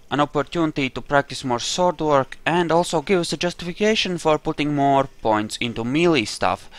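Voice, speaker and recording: A man with a mid-pitched voice commentates on a video game he is playing. He talks slowly, rambling through his choices as he makes them. His delivery is casual, with dry, wry humor. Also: fairly bright tone, smooth. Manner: rambling, thinking aloud. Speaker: gamer narrating a roleplay playthrough.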